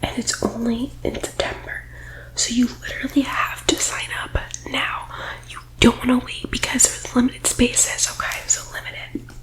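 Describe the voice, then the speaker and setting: Whispering woman, bright and high. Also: little pitch movement, normal speed, poor audio. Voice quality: breathy whisper. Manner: persuasive team-leader patter. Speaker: ASMR roleplay performer. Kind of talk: whispered, soft-spoken ASMR roleplay as a recruiting sales pitch.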